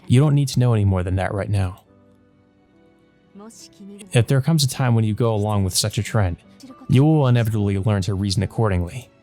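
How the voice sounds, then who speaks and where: A man reads the part of a woman wizard who mentors a child in a visual novel. The delivery is calm, confident and reassuring, like a lesson being given. Music runs behind it. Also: lowish voice, fairly quick, long pauses, natural voice. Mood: calm instructing.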